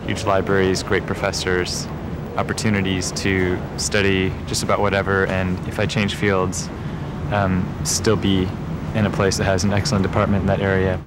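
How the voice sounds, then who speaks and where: A man's voice: low voice, completely flat delivery, moderate pace. A young man, a college student, giving interview answers outdoors. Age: young adult. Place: outdoor campus path. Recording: loud outdoor ambient noise.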